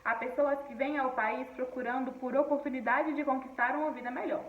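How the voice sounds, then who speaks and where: High-voiced teacher in an echoey room. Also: female voice, fairly even intonation, a little fast, far from the mic, lo-fi sound. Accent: Brasília Portuguese. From Paraíba, Northeast Brazil. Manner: explanatory, often checks in with "tá bom?". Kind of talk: recorded class lesson reading from a textbook.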